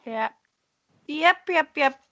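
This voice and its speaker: A woman with a high, bright voice hosts an online radio show with a loose, giggly manner. Her audio is dry and close but slightly muffled and lo-fi. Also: animated, slow, no echo. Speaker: host.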